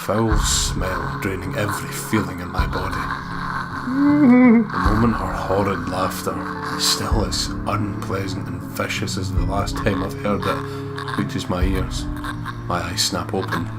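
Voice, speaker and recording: A man reading a horror visual novel aloud in a dramatic first-person voice, with loud game music behind him. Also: deep voice, rough recording. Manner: hushed, deliberate reading that turns loud and excitable in chat.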